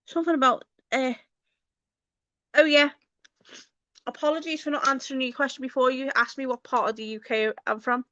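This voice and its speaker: A woman's voice: sits high, fairly even intonation, a little fast, pauses often, fairly bright tone, no room sound, dull audio. A woman with a Liverpool accent chatting casually to her viewers as a channel host, informal and sweary, addressing them by name. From Liverpool, England. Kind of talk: casual livestream chat with viewers.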